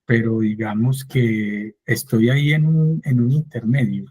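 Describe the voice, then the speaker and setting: A man's voice: medium pitch, measured pace, warm tone, slightly rough, no room sound. A man, a professor on a panel, speaking hesitantly with many fillers. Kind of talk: panel discussion.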